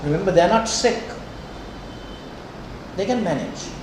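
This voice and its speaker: A man's voice: sits high, lively intonation. Older guy teaching a class, sri w/ twang, super echoey room, mic far off. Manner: deliberate, conversational, checks in often with "okay" and "huh". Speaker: lecturer. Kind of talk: classroom lecture with questions to students. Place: indoor lecture room. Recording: echoey lecture room, distant mic, noisy.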